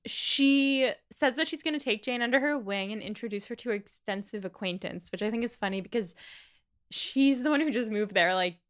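A woman's voice: on the high side, brisk. A woman co-host chatting casually as she retells a novel's plot, full of quoted asides. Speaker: podcast co-host.

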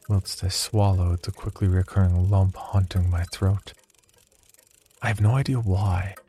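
An actor playing a haunted, unravelling man confessing dark thoughts in a horror audio drama diary, with brooding, measured delivery. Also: low-pitched, a little hiss or hum. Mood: uneasy, horrified. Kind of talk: horror audio drama monologue, first-person diary narration.

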